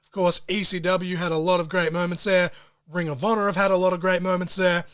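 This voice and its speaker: A man hosting a solo podcast, talking in an excitable, profane way full of wrestling-fan slang. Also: high voice, a little fast, clear, resonant tone. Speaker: podcast host.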